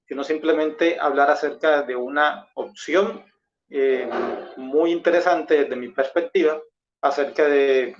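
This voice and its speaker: A man with a Colombian accent giving a measured, explanatory and conciliatory presentation in a debate. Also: fairly high pitch. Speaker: debate participant, former pastor. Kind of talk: expository debate talk. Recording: dull lo-fi audio.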